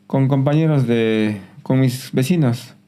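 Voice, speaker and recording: A man, giving a personal testimony in a soft, reflective way with some hesitant restarts. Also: mid-range voice, unhurried, smooth. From Peru. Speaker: guest.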